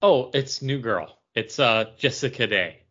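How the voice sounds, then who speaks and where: A man's voice: mid-range voice, animated, measured pace, bright, forceful tone. A man answering trivia in casual chat over a lo-fi call. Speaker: podcast panelist answering trivia. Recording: dull lo-fi voice call.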